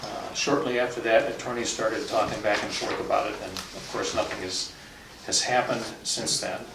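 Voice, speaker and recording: Gravelly man in a noisy, echoey room. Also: fairly low pitch, fairly even intonation. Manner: halting, matter-of-fact. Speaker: town selectman. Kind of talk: unscripted meeting discussion. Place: indoor town board meeting room. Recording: roomy, distant mic, noisy with clicks.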